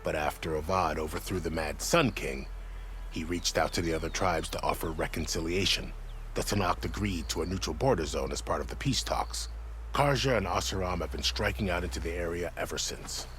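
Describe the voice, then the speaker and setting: An actor playing a grave, courteous gate commander in a video game, speaking in a measured, formal manner. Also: male voice, low voice, a bit bright, slight background noise. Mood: measured, informative. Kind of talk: game cutscene dialogue.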